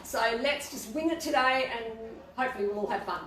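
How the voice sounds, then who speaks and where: Woman, quiet bubbly boutique co-host, slightly high voice, echoey room, faint hiss. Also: lively intonation, a little fast, recorded from a distance. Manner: bubbly, rambling, self-deprecating. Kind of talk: casual live-stream chat. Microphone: no mic, far from laptop camera.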